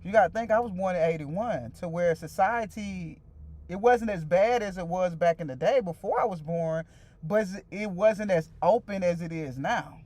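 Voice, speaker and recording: A woman's voice: lowish voice, normal speed, a little mellow. A woman in her forties hosting a casual stream, talking loosely and repeating herself as she chats straight to her viewers and answers their comments. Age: 40s. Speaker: livestream host.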